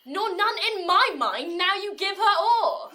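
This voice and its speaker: An actress playing a wounded, indignant young maiden in a Shakespearean audio drama, declaiming verse in a theatrical, aggrieved way. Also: female voice, very high voice, expressive, unhurried, bright, forceful tone, exaggerated voice. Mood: accusing.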